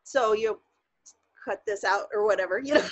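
A woman's voice: mid-range voice, normal speed, no room sound. A woman in her thirties with a Michigan accent, co-hosting in a bubbly, laughing way on a video call. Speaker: co-host. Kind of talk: casual video call chat.

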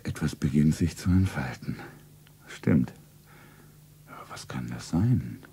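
An actor playing a rich, worldly suitor in a radio play, suave, courtly and romantically earnest. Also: male voice, extremely low pitch, animated, slow, creaky, warm tone, slightly rough, full character voice. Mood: courtly, solemn. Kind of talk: 1970s German radio play acting. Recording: noisy 1970s radio play recording.

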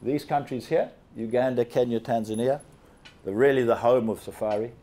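An older man from Zambia holds a handheld microphone as he walks a seated audience through a slide presentation. He talks in an animated way and keeps asking the listeners questions, with a voice in a middle pitch. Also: moderate pace. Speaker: guest speaker. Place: large banquet hall with seated audience.

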